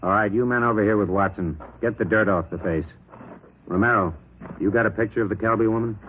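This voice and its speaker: An actor playing a brisk, commanding police captain giving orders in an old radio drama. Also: male voice, fairly low pitch, close to an everyday voice. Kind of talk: 1940s radio acting. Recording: muffled old radio recording, faint noise.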